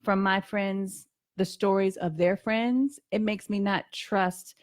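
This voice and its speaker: A woman's voice: mid-range voice, steady tone, slow pace, dry recording, studio quality. A woman hosting a radio talk show, chatting candidly and earnestly and repeating phrases for emphasis. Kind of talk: candid casual chat. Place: radio studio.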